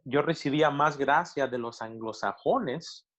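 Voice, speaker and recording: A middle-aged Mexican pastor with a Mexican Spanish accent answers questions over a video call. He speaks reflectively, pausing and restarting often as he works through his thoughts. His voice sits at an ordinary pitch and comes through a slightly dull, lo-fi connection. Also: fairly bright tone. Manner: thoughtful, with many hesitations and restarts. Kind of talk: interview answers.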